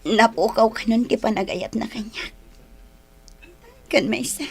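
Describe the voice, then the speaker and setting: A woman's voice: fairly high pitch, fairly even intonation, gravelly, slightly rough, full character voice. A woman acting a heartbroken young woman in a radio drama, pleading, with an Ilocano Filipino accent. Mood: sad, subdued. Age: young adult. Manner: pleading, drawn-out delivery. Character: heartbroken young woman, dumped by her boyfriend, tearful.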